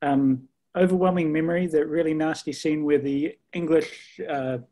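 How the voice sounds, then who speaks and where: A man's voice: a little high, fairly even intonation, moderate pace, dark tone. A man chatting casually on a panel in a wry way. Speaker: podcast panellist. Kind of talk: casual chat. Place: room at home, video call.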